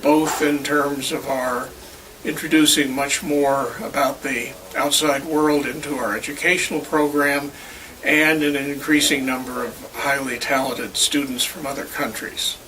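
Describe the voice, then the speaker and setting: Elderly man, bright forceful voice, distant mic. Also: mid-range voice, steady tone, unhurried. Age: 70s or older. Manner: formal, complete sentences. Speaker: university professor. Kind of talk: measured interview answers. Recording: distant mic, faint background noise.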